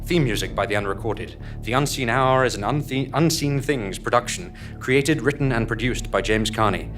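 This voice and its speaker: A man's voice: mid-range voice, normal speed, a bit bright, faint music behind. A man reading scripted narration and announcements for a comedy audio drama, tongue-in-cheek and mock-solemn.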